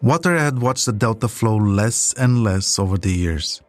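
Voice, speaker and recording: A man's voice: on the low side, lively intonation, moderate pace, dry recording, faint music behind. A man delivering scripted documentary narration as the storyteller of a podcast.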